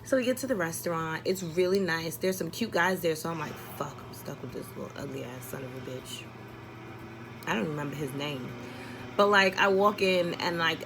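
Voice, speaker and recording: Woman, slightly low voice, casual vlog storytelling, exasperated, sweary, comedic, faint background noise. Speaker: vlogger telling a story. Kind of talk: casual chatty personal storytelling.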